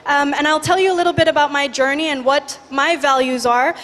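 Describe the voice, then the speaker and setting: 21 yr old woman from indonesia, high-pitched and lively, long hall reverb. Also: a little fast. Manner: lively, guides the audience in breathing. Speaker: youth speaker. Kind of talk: energetic stage talk. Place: large conference hall stage.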